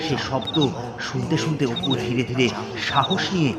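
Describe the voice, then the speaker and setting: A man narrating a scripted horror story dramatically, in deliberate, suspenseful phrases with pauses. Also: medium pitch, loud music behind the voice.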